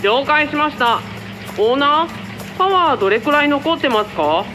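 A woman acts out a dutiful young operator speaking over an intercom in a radio drama. Her voice is high, polite and composed, with music behind it. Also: expressive, normal speed. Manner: polite, procedural.

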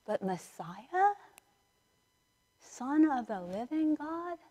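A middle-aged woman priest giving a conversational storytelling sermon in a large church, acting out dialogue with dramatic pauses. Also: high voice, sing-song, warm tone. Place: large church sanctuary, indoors, standing and moving before the altar. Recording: reverberant church hall, picked up in a large space.

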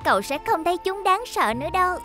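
A sweet young ambulance robot, has a very high, animated voice. She is gentle and caring, in cartoon style. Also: fast, heavily acted. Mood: reassuring. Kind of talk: cartoon dub. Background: music.

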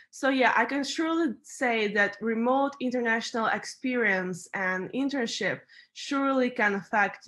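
A woman's voice: a little high, fairly bright tone. A young woman speaking as an intern on a video call panel, upbeat and fluent.

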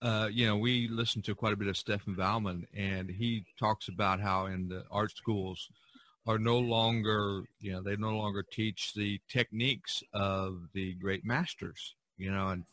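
A man's voice: lowish voice, fairly even intonation, unhurried, fairly bright tone. A man hosting a podcast in a casual, conversational style. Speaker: podcast host.

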